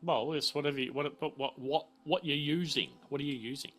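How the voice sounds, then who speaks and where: A man refereeing a casual tabletop game, halting and often repeating himself. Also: fairly high pitch, a bit bright, faint music behind. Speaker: game master.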